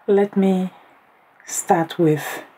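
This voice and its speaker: Hoarse woman vlogger, slow and excitable, unboxing gifts at home; noisy recording. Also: fairly low pitch. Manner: excited, exclaims often and talks straight to viewers. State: hoarse, voice partly lost. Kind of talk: casual unboxing vlog.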